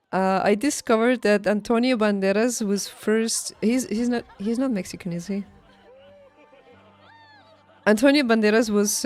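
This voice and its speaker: A woman's voice: mid-range voice, darkish tone. A woman livestreaming a game, chatting casually with her viewers in a playful, teasing way. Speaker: video game streamer.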